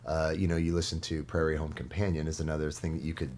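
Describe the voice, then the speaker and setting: Very deep-voiced man in casual interview. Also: completely flat delivery, fast talker, darkish tone. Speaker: guest, stage performer. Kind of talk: casual studio interview chat. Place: studio. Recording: noticeable background noise.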